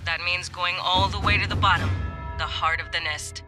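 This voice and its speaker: An actor playing a tough, foul-mouthed marine squad leader in a video game, giving terse, commanding orders. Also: male voice, sits high, fairly even intonation, normal speed, natural voice. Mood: grim, commanding. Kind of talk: scripted video game dialogue. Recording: loud music behind the voice.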